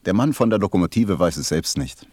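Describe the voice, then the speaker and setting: A man playing a weary, matter-of-fact railway switchman in a German radio play, laconic and resigned, with a husky low voice. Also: expressive, a little fast, darkish tone, no echo.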